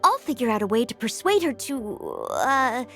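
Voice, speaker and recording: High-voiced, polite young maid knight. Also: female voice, sing-song, moderate pace, a bit bright, faint music behind. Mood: courteous, earnest. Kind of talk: video game character acting.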